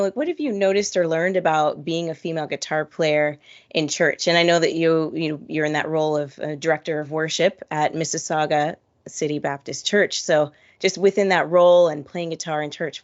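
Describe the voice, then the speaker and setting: A woman's voice: low-pitched, fairly even intonation, brisk, lo-fi sound. A woman hosting a podcast, asking casual interview questions and giving encouraging responses. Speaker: podcast host.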